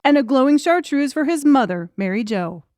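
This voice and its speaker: Female audiobook narrator, fairly high voice, scripted storytelling in a very dry recording. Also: lively intonation, a little fast, a little mellow, no room sound.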